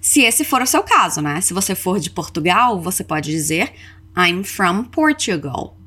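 Lively Brazilian woman teaching. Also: fairly low pitch, slightly rough. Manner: instructive, enunciates example phrases slowly and deliberately. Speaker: English teacher hosting a podcast lesson. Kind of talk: scripted language lesson with repeat-after-me drills.

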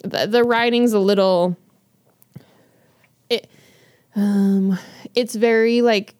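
A woman's voice: medium pitch, steady tone, takes it slowly, a little mellow, no room sound, clean studio sound. A woman co-hosting a podcast, chatting casually and rambling with many fillers and restarts while recommending books. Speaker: podcast co-host. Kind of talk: casual chat about books.

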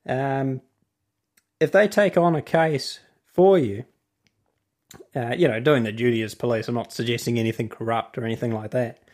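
A man's voice: mid-range voice, normal speed, pauses often, darkish tone. A man with a New Zealand accent giving a casual explanatory talk as host and legal author, wandering into asides and then finding his thread again.

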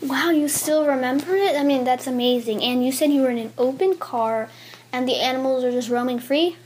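A woman's voice: sits high, brisk, darkish tone, slight background noise. A young woman hosting her own casual podcast, chatty and eager, interviewing her grandmother with many ums. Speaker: podcast host.

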